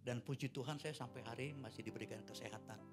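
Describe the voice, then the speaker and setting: A pastor in his sixties preaching a church sermon, chatting through personal stories and then swelling into loud, emphatic preaching. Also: medium pitch, fairly even intonation, racing, over music. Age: 60s. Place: church service.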